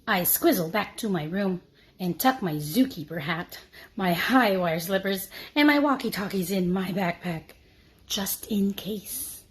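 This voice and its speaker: A woman reading a children's storybook aloud in an expressive, deliberate preschool-teacher manner. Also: medium pitch. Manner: animated, deliberate.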